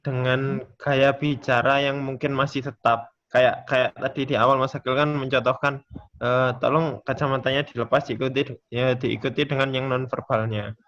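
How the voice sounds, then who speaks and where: A man taking part in a class, speaking casually and politely in a halting, hesitant way. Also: mid-range voice, level tone, a bit bright. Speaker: webinar participant. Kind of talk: casual question and discussion. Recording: slightly dull lo-fi call audio.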